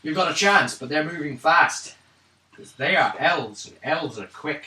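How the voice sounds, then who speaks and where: Guy doing a wry riddly fantasy stranger, sharp voice, roomy far-off mic. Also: a little high, expressive, measured pace, exaggerated voice. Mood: wry, cryptic. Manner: cryptic, musing. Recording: roomy far-off tabletop recording, faint noise.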